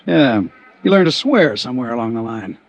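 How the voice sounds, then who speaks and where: A man's voice: medium pitch, big pitch swings, fairly quick. An American man playing an earnest, methodical arson investigator with a plain-spoken manner in a 1950s radio drama. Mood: earnest, confiding. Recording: dull vintage radio recording.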